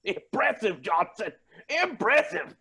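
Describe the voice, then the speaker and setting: A man playing a flamboyant, menacing and unhinged billionaire villain in a staged play reading, with a raspy, creaky voice and grandiose delivery. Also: high voice, big pitch swings, measured pace, noisy background. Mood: smug menace. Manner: theatrical, grandiose, swinging from mutters to shouts.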